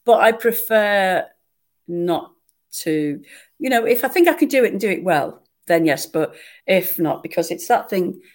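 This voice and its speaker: A woman, an actress chatting in an interview and telling lively, self-mocking stories. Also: mid-range voice, a little slow. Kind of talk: conversational interview.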